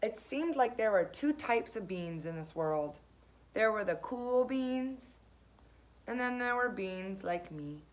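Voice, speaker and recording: A woman reads a children's story aloud at a very unhurried pace. Her pitch sits in a middle range for a woman, and she leans on key words with dramatic, expressive emphasis, like a teacher reading to young children.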